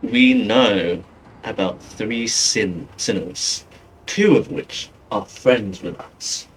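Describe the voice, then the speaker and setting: A man giving casual, musing game commentary as a streamer, in a halting way. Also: fairly low pitch, wildly swooping pitch, creaky, a little hiss or hum, poor audio. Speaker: gaming streamer.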